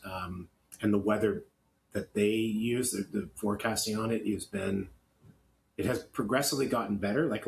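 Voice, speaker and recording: A Canadian man co-hosting a podcast, talking casually and with opinion, in a halting, rambling way with self-corrections. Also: on the low side, poor audio. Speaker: podcast co-host. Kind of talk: opinionated casual podcast talk, partly reading notes.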